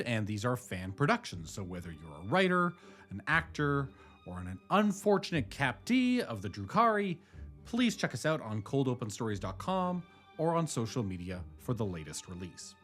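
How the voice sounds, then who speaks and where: Male host for scripted show outro, animated intonation, mid-pitched, light music underneath. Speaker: host, writer and director. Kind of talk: scripted outro narration, friendly address to listeners.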